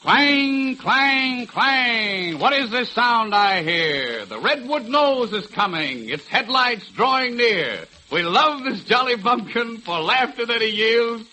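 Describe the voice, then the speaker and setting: A man as a very high-pitched, declaiming comic reciter. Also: sing-song, slow, hardly any pauses, bright, forceful tone, exaggerated voice. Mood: gleeful, declaiming. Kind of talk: 1930s radio comedy verse recitation. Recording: noisy old 1930s radio recording.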